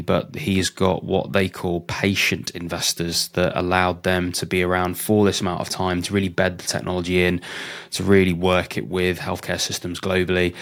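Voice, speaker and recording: A man hosting a health tech podcast, introducing his guest in a chatty, enthusiastic way with frequent ums and restarts. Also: sits low. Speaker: podcast host.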